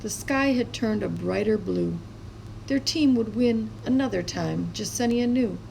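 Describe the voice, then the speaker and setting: A woman with a creaky voice reading a children's story aloud expressively, as a storyteller. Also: a little high, a little slow, darkish tone, slight background noise, rough recording. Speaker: storybook reader.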